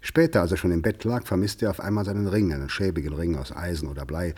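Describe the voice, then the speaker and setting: An actor narrating a literary mystery tale in a 1950s radio play, measured and deliberate. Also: male voice, deep voice, a little mellow, slightly rough. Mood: measured storytelling. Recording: 1959 radio studio recording.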